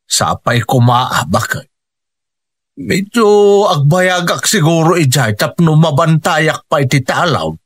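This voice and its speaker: Slow-spoken aging father, swooping pitch. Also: expressive, no echo, exaggerated voice. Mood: reassuring. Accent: Ilocano. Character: aging Ilocano father and husband, earnest, reassuring. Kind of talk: emotive radio drama acting.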